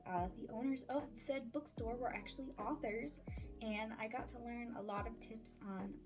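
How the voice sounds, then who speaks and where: A woman in her twenties chatting casually in a life-update vlog, candid and slangy with playful sarcasm. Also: a little high. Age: 20s. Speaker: vlogger and voice-acting audio creator. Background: background music.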